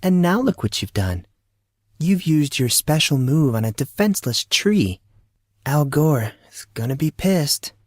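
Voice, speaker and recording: A teenage girl who sneers and goads, played by a male narrator. The voice is lifted very high, with a weakened, evasive edge. It sits in a dry audiobook recording. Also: big pitch swings, unhurried, dry recording. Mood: smug, weak. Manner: taunting, sneering. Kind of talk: audiobook character acting.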